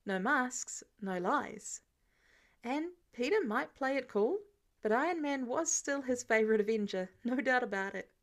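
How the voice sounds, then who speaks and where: High-voiced woman narrating a story. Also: lively intonation, measured pace. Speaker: fan fiction audiobook reader. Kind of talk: expressive scripted story narration.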